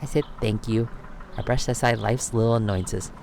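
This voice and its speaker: A man reading a children's picture book aloud as storyteller, expressive and given to dramatic pauses. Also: medium pitch, vocal fry, background noise.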